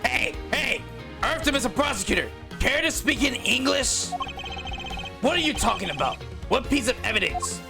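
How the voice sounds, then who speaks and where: A man's voice: very high voice, very animated, moderate pace, gravelly, over music, voice pushed up, full character voice. A man voicing a spirited young female thief who speaks in bouncy, teasing outbursts. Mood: cheeky.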